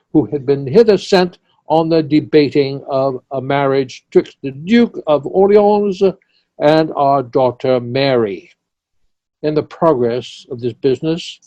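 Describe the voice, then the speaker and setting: Lordly, self-assured king played by a man: higher, mellow voice, emphatic amateur verse reading, muffled call with music. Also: no echo. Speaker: amateur actor in an online play reading. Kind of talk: amateur Shakespeare read-aloud. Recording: dull online call with music.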